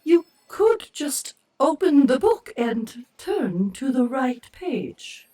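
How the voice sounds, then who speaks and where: An actress playing a sorrowful alien survivor in an audio drama, plaintive and pleading. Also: female voice, high voice, very animated, slow, warm tone, distant mic, exaggerated voice. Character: sorrowful female alien, lone survivor of destroyed planet.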